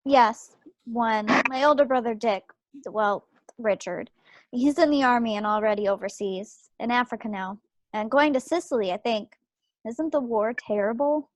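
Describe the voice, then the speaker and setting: Woman playing a higher-voiced, wry young 1940s woman. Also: normal speed, no echo, lightly acted. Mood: reserved, gentle. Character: sharp-witted young 1940s small-town woman, Maxine, wry. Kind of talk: stage play table read. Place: at home on a video call. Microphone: computer microphone on a video call.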